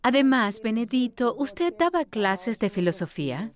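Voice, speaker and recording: A woman's voice: on the high side, very animated, normal speed. A woman voice actor dubbing an attentive, literate interviewer who asks and sums up in an orderly, articulate way. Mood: attentive, explanatory. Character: attentive, literate interviewer summing up a guest's life. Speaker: dubbed interviewer. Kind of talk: scripted documentary interview dub.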